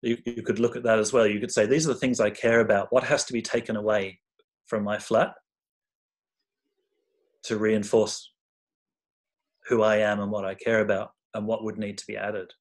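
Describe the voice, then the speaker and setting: Reflective man presenting, slightly low voice. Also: flat delivery, moderate pace, long pauses. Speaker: workshop presenter, architect. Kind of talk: informal online talk.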